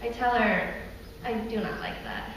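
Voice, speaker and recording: A woman's voice: on the high side, fairly even intonation, measured pace, lightly acted. An actress playing a woman reliving painful girlhood memories in an earnest, childlike stage monologue, recorded in an echoey hall. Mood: tender recollection. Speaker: stage performer. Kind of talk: theatrical monologue. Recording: echoey hall, distant mic, faint noise.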